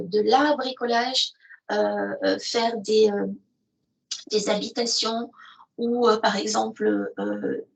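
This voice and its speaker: A woman's voice: on the high side, low-quality recording. A woman presenting educational resources in an online session, hesitant with many fillers. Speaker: presenter of an educational video platform. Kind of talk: webinar presentation with screen sharing.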